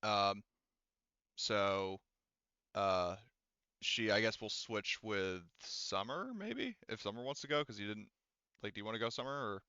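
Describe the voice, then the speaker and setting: Clear-voiced man running a tabletop game. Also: fairly low pitch, expressive, sharp tone, dry recording, dull audio. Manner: halting, thinking aloud, casually profane. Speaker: game master. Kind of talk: casual tabletop game narration and rulings.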